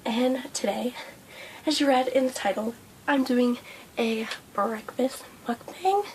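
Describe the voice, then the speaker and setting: A young woman vlogging a breakfast mukbang, hoarse and losing her voice from a cold, rambling with lots of fillers. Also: fairly high pitch, slow pace, a little hiss or hum, rough recording. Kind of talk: casual mukbang vlog chat. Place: indoors at home under a hot light.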